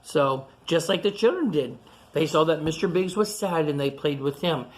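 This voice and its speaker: A woman doing a storytime for young children, reading and explaining in simple, repetitive words with gentle questions to her listeners. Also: deep voice, expressive, normal speed. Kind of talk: picture-book read-aloud and simple chat to young children.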